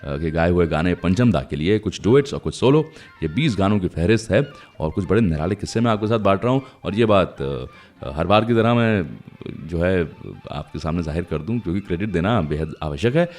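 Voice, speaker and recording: A man with a deep voice hosts a music radio show. His delivery swings expressively as he chats to listeners and shares anecdotes, with soft music underneath. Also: expressive, a little fast, hardly any pauses. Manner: conversational, anecdotal, addresses listeners directly. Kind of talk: chatty music radio storytelling.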